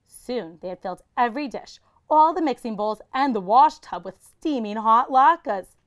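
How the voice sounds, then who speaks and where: A woman's voice: fairly high pitch, expressive, normal speed, lo-fi sound. A woman reading a children's picture book aloud like a teacher, expressively and with dramatic emphasis.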